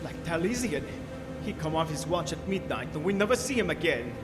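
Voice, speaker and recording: An actor playing a rough, frightened deckhand with rustic sailor talk in a horror radio drama, recorded on a reverberant stage. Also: male voice, a little high, big pitch swings, a little fast. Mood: fearful. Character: rough, frightened male deckhand on a sailing ship. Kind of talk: live gothic horror radio drama acting. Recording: reverberant stage, music behind.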